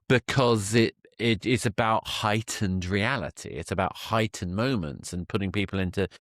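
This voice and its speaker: Deep-voiced man hosting a podcast. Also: no echo, studio quality. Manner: enthusiastic, affirming. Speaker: podcast host interviewing a guest. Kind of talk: conversational podcast interview.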